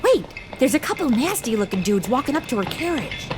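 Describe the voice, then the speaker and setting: A woman playing a sassy, animated young sidekick. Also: high voice, a little fast, music in the background. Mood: indignant. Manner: slangy, blunt, sarcastic. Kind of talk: comedy audio drama acting.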